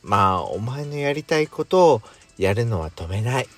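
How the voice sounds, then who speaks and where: A man playing a calm, indulgent boyfriend with a dry, gentle manner in an intimate bedtime audio drama. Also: medium pitch, moderate pace, soft background music, pitched below the performer's own voice. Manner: gentle, dry, masculine wording. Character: gruff but doting male lover, calm and indulgent.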